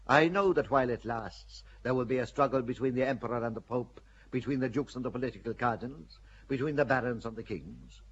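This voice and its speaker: An actor playing a calculating bishop in a radio play, speaking in a measured, persuasive way with a husky voice. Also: male voice, mid-range voice, muffled recording. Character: shrewd older churchman, a bishop, calculating.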